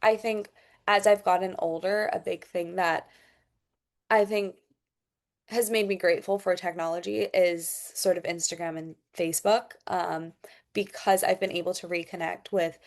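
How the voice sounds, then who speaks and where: A woman's voice: fairly low pitch, fairly even intonation, moderate pace. A young woman with a mixed international accent and long vowels, giving thoughtful interview answers with frequent hesitations. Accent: mixed international, elongated vowels from Thai. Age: young adult. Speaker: podcast guest, international student.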